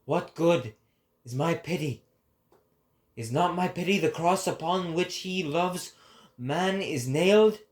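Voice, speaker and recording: A man voicing an impassioned prophet preaching to a crowd, declaiming grandly and deliberately in a dramatic philosophical reading. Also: a little high, voice pushed up. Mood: scornful, impassioned.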